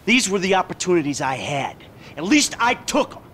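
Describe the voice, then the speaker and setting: An actor playing a bitter, sarcastic middle-aged American ex-bank robber in a video game cutscene, profane and exasperated. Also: male voice, sits high, sing-song, fairly quick, fairly bright tone, a little hiss or hum. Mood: angry, bitter. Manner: sardonic, profane, exasperated delivery.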